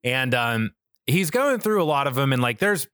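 Bright, rambling American man, close and dry. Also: medium pitch, very animated, moderate pace, clean tone, no room sound. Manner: enthusiastic, rambling, profane. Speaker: podcast co-host. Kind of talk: casual chat.

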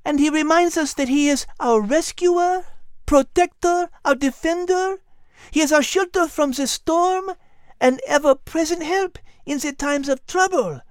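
A woman plays a refined little female animal in a children's audio drama. The voice is high and gentle, with articulate, teacherly phrasing. It sounds close and dry. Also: a little slow, no room sound. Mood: gentle, reassuring.

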